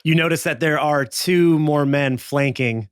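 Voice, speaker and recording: A man runs a tabletop western as game master, improvising the scene and lingering on words for suspense. His voice is slightly high, and the recording is dry and close. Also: measured pace, clean tone, no room sound.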